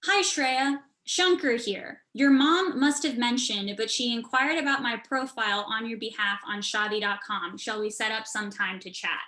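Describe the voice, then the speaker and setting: A woman's voice: a little high, steady tone, normal speed, hardly any pauses, pushed and bright. A young woman playing an exasperated, outspoken thirty-year-old daughter in a staged reading, emphatic and indignant. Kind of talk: staged reading over video call.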